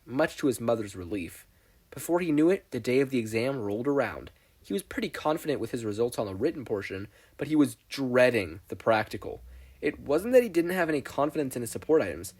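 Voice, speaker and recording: A podfic narrator reading a scripted story aloud in an expressive storytelling style. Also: medium pitch, breathy edge.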